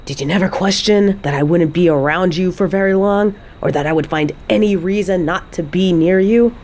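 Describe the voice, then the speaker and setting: A woman's voice: lowish voice, moderate pace, darkish tone, slight background noise, natural voice. A woman playing a fed-up, defiant mother confronting her toxic ex in a dramatic audio roleplay, scornful and emphatic. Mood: angry, exasperated.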